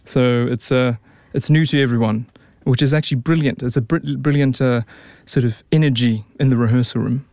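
A young man with a New Zealand accent talks easily as a radio guest, often restarting his sentences. His voice sits in a middle pitch. The sound is dry and close, with no room around it. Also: fairly even intonation, fairly quick, few pauses, darkish tone, no echo. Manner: chatty, many restarts and fillers. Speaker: opera tenor, guest. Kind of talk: relaxed radio interview.

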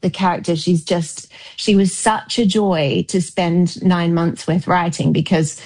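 A woman's voice: lowish voice, fairly even intonation, unhurried, darkish tone. A woman in her forties from New Zealand, a novelist guest speaking in a wry, reflective, articulate way with frequent "you know" asides. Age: 40s. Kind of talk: casual interview conversation.

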